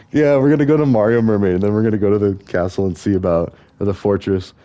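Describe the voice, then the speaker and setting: A young man with a deep voice, musing on tangents while he plays a game. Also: warm tone. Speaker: gaming vlogger. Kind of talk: rambling commentary while playing a game.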